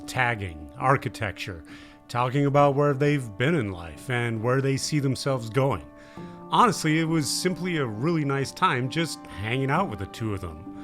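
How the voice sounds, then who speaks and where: A man working as a documentary host, speaking in narration and casual interview. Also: mid-range voice.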